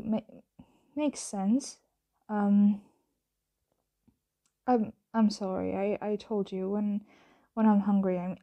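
A woman performs a flustered young female vampire roommate in an ASMR roleplay. Her voice is soft and mellow, with a gravelly creak. She talks slowly, stammering and dodging as she hides how hungry and tired she is. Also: mid-range voice, lo-fi sound. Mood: flustered, nervous. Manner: hesitant, stammering, evasive.

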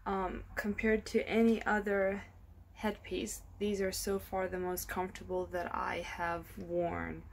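A woman's voice: medium pitch, little pitch movement, measured pace. A young adult woman talking casually to camera in a product review, informal and thinking aloud, recorded indoors on a camera at arm's length. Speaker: fitness vlogger. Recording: clear background noise.

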